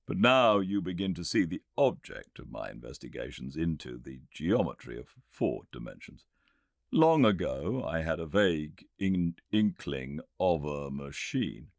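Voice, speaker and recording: Guy reading a confident gentleman inventor in a storybook, really deep voice, lively delivery, explains things like a lecture. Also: vocal fry, no echo, studio quality, full character voice. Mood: calm, assured. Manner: measured, lecturing, assured. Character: the Time Traveller, a confident, reasoning male gentleman inventor. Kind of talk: audiobook character reading.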